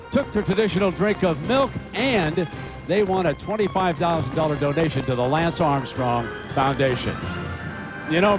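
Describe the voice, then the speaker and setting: A man narrating a pre-race broadcast feature in a measured way. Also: high voice. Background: music.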